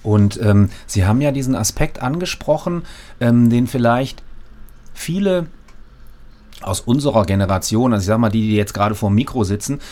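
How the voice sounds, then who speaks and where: A man's voice: mid-range voice, animated, moderate pace, warm tone. A man interviewing a guest at a studio mic, asking long, winding questions full of fillers.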